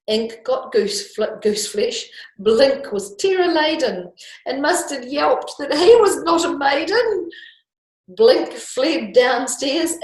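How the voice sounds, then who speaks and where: A woman reading a children's story expressively, with chatty explanations and questions to her listeners. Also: fairly high pitch. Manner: conversational, explaining, asking tag questions. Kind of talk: expressive read-aloud with chatty asides. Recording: echoey room.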